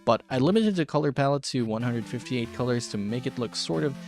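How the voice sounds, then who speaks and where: A man with a mid-pitched voice narrates a casual software and drawing tutorial. He is chatty and informal, with a self-deprecating streak, talking like the host of an online art show. Music plays behind his voice. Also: lively intonation, a little fast. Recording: background music under voice.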